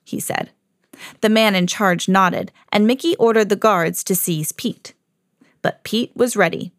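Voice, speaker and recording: A mother hosting her own podcast, reading a children's storybook aloud with lively jumps for the quoted lines. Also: medium pitch, unhurried, dry recording, studio quality. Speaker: mom reading to her kids, podcast host.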